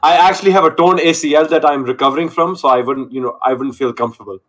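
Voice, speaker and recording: Loud high-voiced young man on headset. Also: fast. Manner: loud, cocky, joking. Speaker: online date contestant. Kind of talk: casual video call banter. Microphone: gaming headset on video call.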